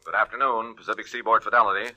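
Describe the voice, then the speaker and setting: An actor playing a hurried, polite male insurance adjuster in a 1940s radio drama, brisk with hesitant pauses. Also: fairly low pitch, steady tone, pushed and bright, no echo, rough recording.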